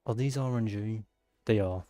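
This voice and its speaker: A man's voice: fairly low pitch, normal speed, dark tone, no room sound, soft background music. A man giving casual live gameplay commentary, thinking aloud and exclaiming to himself as he plays. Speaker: gaming streamer playing and commenting.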